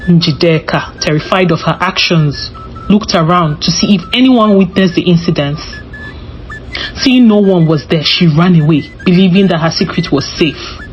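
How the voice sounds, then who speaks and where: A woman's voice: fairly low pitch, normal speed. A woman narrating a scripted folktale as a storyteller. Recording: slightly dull recording with music behind. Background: music.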